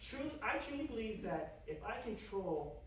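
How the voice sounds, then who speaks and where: An actor playing a bumbling, grandiose mad scientist villain in an animated comedy table read, stammering and indignant. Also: medium pitch, moderate pace, a little hiss or hum, close to an everyday voice. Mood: self-important. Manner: flustered, stammering, self-important. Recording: echoey room, distant microphone.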